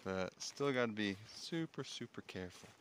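A man's voice: lowish voice, animated, moderate pace, a bit bright. A man narrating a casual solo hiking vlog in a chatty, wonder-struck way, speaking outdoors into a handheld camera. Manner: chatty, exclaiming in wonder, often chuckling. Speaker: solo hiker vlogging. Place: outdoors in windy, open mountain terrain. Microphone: handheld phone or camera while walking. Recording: noisy outdoor recording with clicks.

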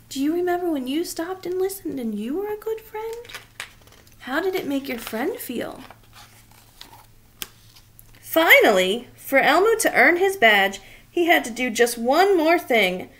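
A woman's voice: high voice, very animated, measured pace, fairly bright tone. A woman in her thirties reading a children's story aloud expressively and asking the young listeners questions. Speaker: children's read-aloud reader. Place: small indoor room.

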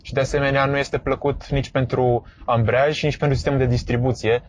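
A man calmly explaining step by step while driving, with frequent hesitations, recorded inside a moving car. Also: mid-range voice, slight background noise. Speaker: driving instructor vlogger.

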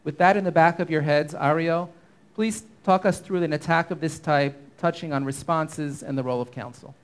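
A man moderating a conference panel, giving measured, formal prepared remarks on a stage with a handheld microphone. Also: fairly high pitch, smooth. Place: conference stage before an audience.

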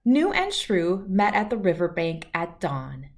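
A woman's voice: on the low side, huge pitch swings, unhurried, darkish tone. A middle-aged woman narrating a children's storybook as a read-aloud host.

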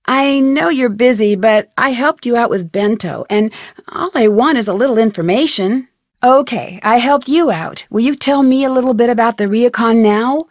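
Actress doing a curious friendly young girl detective from a video game, upbeat, highish voice, dry studio sound. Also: moderate pace, no echo, natural voice. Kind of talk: scripted video game dialogue and tutorial narration.

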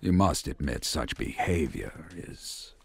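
An actor playing a pompous, menacing middle-aged government security advisor, a scheming villain in a video game. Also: male voice, rumbling low, lively intonation, slow, vocal fry, slight background noise, full character voice. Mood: smug, formal.

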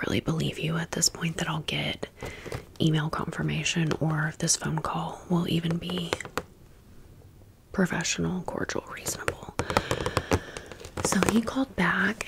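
An American woman hosting an ASMR storytime in a breathy whisper, with a casual, sassy, slangy way of talking. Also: fairly low pitch, heavy background noise. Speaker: ASMR video host.

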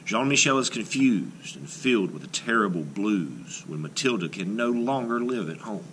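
Male storybook reader, lowish and forceful, dramatic phrasing with deliberate pauses. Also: big pitch swings, slight background noise. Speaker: art teacher reading a picture book aloud. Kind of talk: expressive children's picture book read-aloud.

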